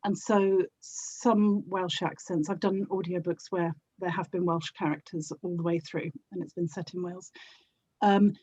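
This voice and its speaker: A woman's voice: fairly low pitch, steady tone, moderate pace, mellow, husky. Woman with a South London accent, dull audio. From South London, England. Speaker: interview guest, audio drama actor and producer. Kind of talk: casual conversational interview.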